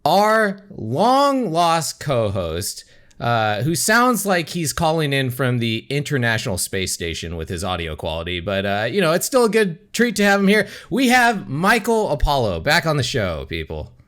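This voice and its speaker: A young man hosting a casual podcast, his pitch swooping up and down as he jokes and laughs through the banter. His voice sits in an ordinary middle register, loose and playful. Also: huge pitch swings, moderate pace, fairly bright tone. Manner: joking, laughs often, goofy theatrical openings. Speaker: podcast host.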